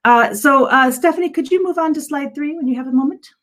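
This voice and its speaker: A woman's voice: high voice, fast talker, a bit bright. A woman giving an earnest slide presentation on a video call as a director, with a hesitant delivery. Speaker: adult education director presenting. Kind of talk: virtual slide presentation to an audience. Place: virtual event on video call.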